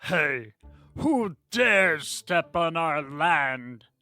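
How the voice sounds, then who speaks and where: A man as a slow, bellowing slime monster. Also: on the high side, sing-song, bright, forceful tone, soft background music, pitched above the performer's own voice, exaggerated voice. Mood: bellowing challenge. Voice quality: squelchy. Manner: bellowing, menacing, drawn-out. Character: big, angry, suspicious purple slime ball.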